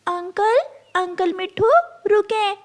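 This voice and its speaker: Woman as young male cartoon bird, squeakily high, eager, well-mannered. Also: steady tone, slow. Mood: eager, polite. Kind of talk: cartoon voice acting.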